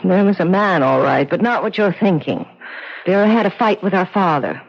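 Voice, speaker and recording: A woman's voice: fairly low pitch, big pitch swings, moderate pace. An American actress playing a worried, proper, strong-willed woman in a 1970s radio drama, earnest and insistent. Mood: tense, grieving. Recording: dull 1970s radio broadcast, music behind.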